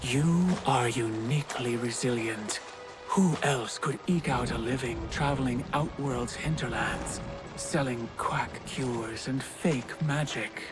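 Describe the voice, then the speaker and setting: Male actor as mysterious game benefactor, mid pitch, deliberate, grand, calm persuasion, clear music bed. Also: lightly acted. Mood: calm, tempting. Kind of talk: dramatic video game cutscene acting. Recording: music behind the voice.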